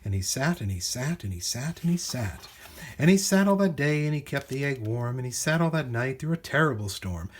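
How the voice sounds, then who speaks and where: A man's voice: mid-range voice, sing-song, fairly quick. A man reading a rhyming children's storybook aloud in a theatrical, rhythmic, emphatic way.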